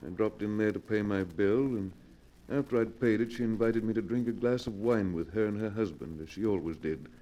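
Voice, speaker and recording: Man playing a courtly low-voiced radio recluse. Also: a little fast, warm tone, dull audio, natural voice. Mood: solemn. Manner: formal, genteel, insistent. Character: courteous, lonely male recluse host, faintly sinister and formal. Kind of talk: 1940s radio acting.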